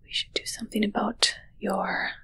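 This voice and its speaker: A woman's voice: mid-range voice, level tone, fairly bright tone, breathy edge. Woman playing a haughty whispering witch. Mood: pondering, bossy. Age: young adult. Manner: slow, musing, dryly condescending. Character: haughty, teasing immortal witch fussing over her familiar. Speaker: ASMR roleplayer. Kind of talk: whispered ASMR roleplay. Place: small indoor set with dark drapes. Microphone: close ASMR microphone.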